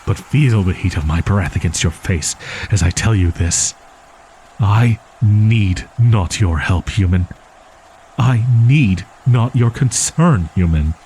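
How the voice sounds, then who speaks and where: A man's voice: deep voice, slow pace, warm tone, a little hiss or hum, low-quality recording. A man voicing a proud, easily flustered young wolf-boy in a roleplay audio drama, with stilted archaic diction and defensive stammering. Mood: stern, threatening. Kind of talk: roleplay audio drama monologue addressed to listener.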